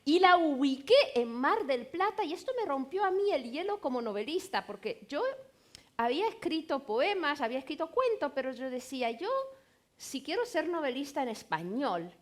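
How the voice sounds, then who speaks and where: A woman's voice: sits high, very animated, normal speed. A middle-aged woman novelist whose first language is Romanian, speaking Spanish on a literary panel. She tells stories animatedly, with playful asides. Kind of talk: conversational panel talk.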